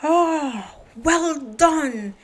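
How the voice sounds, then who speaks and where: Anxious country farmer for a picture book played by a woman, high-pitched and earnest. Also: expressive, extremely slow, heavily acted. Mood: concerned. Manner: anxious, grateful. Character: worried male sheep farmer in a storybook. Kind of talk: children's storybook character voice.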